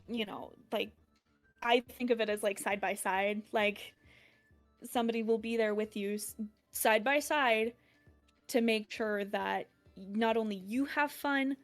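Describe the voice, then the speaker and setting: Casual woman guest with a low-set voice that still sits a bit above average pitch, playful and rambling, laughs a lot, faint music underneath. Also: a little slow. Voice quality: deeper voice for a woman. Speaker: streamer, guest. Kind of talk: casual interview chat.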